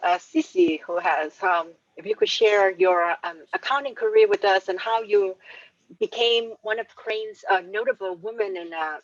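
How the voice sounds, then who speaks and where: A woman moderates an online panel and invites a guest to speak with polite encouragement. Her voice is mid-pitched and sounds far from the mic. The audio is dry and dull. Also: no echo.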